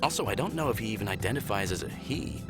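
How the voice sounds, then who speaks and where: An actor playing a young, smitten and easily flustered spaceship pilot in a visual novel game, expressive and a little awkward. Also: male voice, lowish voice, quick pace, over music, natural voice. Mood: explaining.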